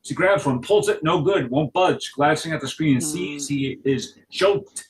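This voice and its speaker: Intense, higher-pitched man reading stage directions. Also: measured pace, a crowd in the background. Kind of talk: dramatic script narration.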